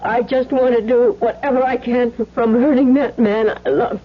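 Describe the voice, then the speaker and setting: Husky defiant wife played by an actress. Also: female voice, a little high, fairly even intonation, moderate pace, lightly acted. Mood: anxious, pleading. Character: embattled remarried wife, defiant and protective mother. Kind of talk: old-time radio drama acting. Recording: muffled lo-fi old radio recording.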